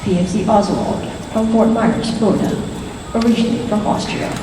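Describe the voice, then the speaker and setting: A woman announcing at a formal ceremony, reading names and hometowns slowly and deliberately over a public address system. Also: medium pitch, background noise. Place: large hall at a ceremony. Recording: distant PA voice in an echoey hall with a loud crowd.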